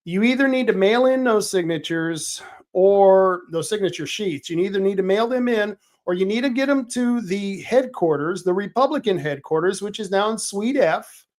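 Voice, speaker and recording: Rambling higher-voiced male show host. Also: lively intonation, normal speed, a little mellow. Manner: rambling, with restarts and fillers. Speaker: political talk show host. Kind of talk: casual livestream talk.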